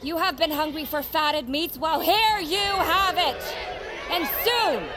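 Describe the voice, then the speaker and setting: A woman's voice: extremely high pitch, slow, crowd noise. An actress playing a boastful, taunting female warrior who leads a tribe's hunters in an audio fantasy drama. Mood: triumphant. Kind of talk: dramatic audio fiction acting. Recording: clear background noise.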